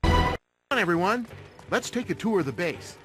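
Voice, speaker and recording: A man's voice: high voice, very animated, normal speed, loud music behind the voice. An actor playing an earnest, upbeat, take-charge teenage boy with an American accent. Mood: decisive.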